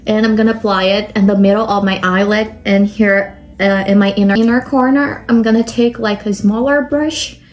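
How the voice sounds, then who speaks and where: A woman's voice: mid-range voice, steady tone, moderate pace, few pauses. A young woman from Brazil with a Brazilian Portuguese accent, talking through a makeup tutorial step by step and often saying "like". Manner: step-by-step explaining, frequent "like" fillers. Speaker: makeup vlogger.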